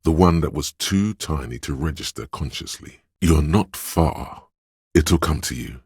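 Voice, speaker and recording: A man voicing a cool, sly, goading inner voice in a video game, narrating in the second person with deliberate, savored words. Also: animated, a little mellow, dry recording, studio quality, full character voice. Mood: coaxing, calm. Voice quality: very deep, gravelly, husky.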